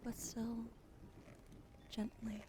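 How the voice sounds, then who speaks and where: A woman streamer doing an ASMR hair-brushing roleplay, whispering softly and chatting gently with her viewers. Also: on the high side, monotone, extremely slow, noisy background.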